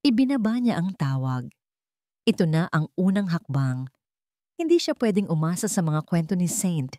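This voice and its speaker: A Filipino woman reads a dramatic love story as its narrator. Her voice sits on the low side and is recorded close and dry. She tells it in a measured, emotive way. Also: big pitch swings, warm tone, no room sound.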